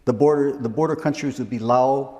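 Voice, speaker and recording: A man's voice: mid-range voice, a little fast, warm tone. Chatty older man in a reverberant hall. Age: 60s. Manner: rambling, frequent restarts and chuckles. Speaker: interview guest, missionary. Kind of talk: casual conversational interview.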